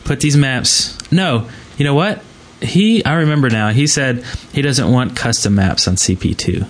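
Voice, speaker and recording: A man's voice: medium pitch, lively intonation, normal speed. A man co-hosting a podcast in a casual conversation about online gaming. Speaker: podcast co-host.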